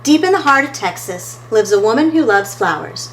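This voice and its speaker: A woman's voice: on the high side, animated, normal speed, slight background noise, low-quality recording. A woman reading a picture book aloud as a storytime host, expressively and talking to her listeners between pages.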